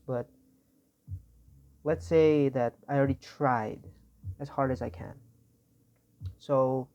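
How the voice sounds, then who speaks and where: A young man with an Indonesian accent gives a loose tutorial, his voice raspy from not sleeping, and he rambles and keeps correcting himself. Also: medium pitch, darkish tone, dull audio. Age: young adult. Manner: tired, rambling, self-correcting. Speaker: student. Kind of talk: informal tutorial explanation.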